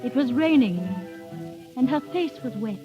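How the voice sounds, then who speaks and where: A woman's voice: high voice, lively intonation, a little slow. An actress playing a proud, wounded, genteel lady in a vintage radio drama, speaking in formal, challenging, dramatic phrases. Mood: accusing. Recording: dull, band-limited old radio recording, music behind.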